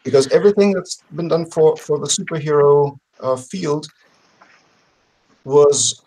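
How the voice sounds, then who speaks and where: A middle-aged man talking casually as an interview guest on a video call, often pausing to search for words. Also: fairly high pitch, warm tone, low-quality recording. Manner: halting, word-searching delivery. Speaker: podcast guest presenting a crowdfunding campaign. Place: home room with bookshelves, on a video call.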